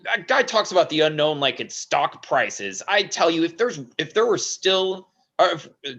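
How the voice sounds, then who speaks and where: Man acting grumbling old-school investigator, indignant, lively higher voice, hot-tempered. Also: normal speed, vocal fry, exaggerated voice. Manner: aggrieved, grumbling. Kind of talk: screenplay table read.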